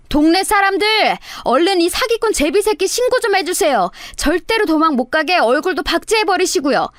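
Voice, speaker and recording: A narrator playing a shrill, scolding daughter-in-law, very high. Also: female voice, dry recording, clean studio sound. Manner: scolding, theatrical. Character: loud scheming daughter-in-law faking an exasperated daughter. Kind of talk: dramatized audio story.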